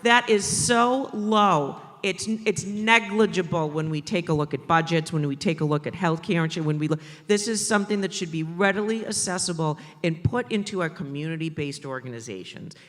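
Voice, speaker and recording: A man from the Boston area with a Boston accent, speaking on a conference panel about maternal mental health in an animated way, with joking asides and emphatic repetition. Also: on the high side, moderate pace, few pauses, long reverb tail. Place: conference stage in a large hall.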